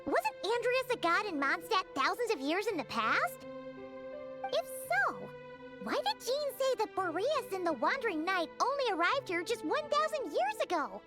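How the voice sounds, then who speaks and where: A woman's voice: very high voice, big pitch swings, normal speed. A woman voicing a tiny, chirpy fairy companion girl who talks about herself in the third person, in video game dialogue. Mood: curious. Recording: game music loud underneath. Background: game music.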